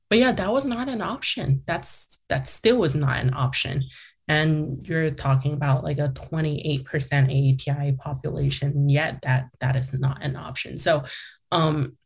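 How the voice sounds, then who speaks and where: Low husky young woman, chatty. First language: Mandarin. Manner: chatty, many restarts and "like" fillers. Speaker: podcast guest, former mayor. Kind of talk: casual interview conversation.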